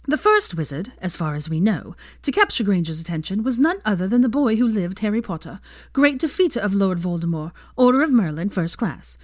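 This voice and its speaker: A woman acts out a gossipy female journalist reading a scandalous column in an audiobook story. Her delivery is snide and insinuating, with animated pitch over a very dry recording. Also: no echo. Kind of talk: scripted newspaper column read aloud.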